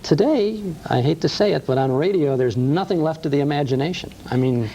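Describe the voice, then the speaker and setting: Middle-aged man, old-time radio host type, warm gravelly delivery, lively storyteller. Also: on the high side, fairly quick, a little hiss or hum, poor audio. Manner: enthusiastic, storytelling, frequent uh fillers. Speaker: radio host and museum co-founder. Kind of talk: casual interview chat.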